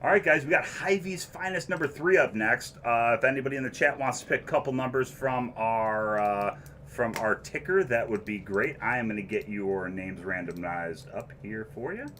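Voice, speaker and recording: A man's voice: medium pitch, normal speed, a bit bright, slight background noise. A man hosting a live memorabilia break, upbeat and casual, reading chat comments aloud. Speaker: host of memorabilia box-break stream.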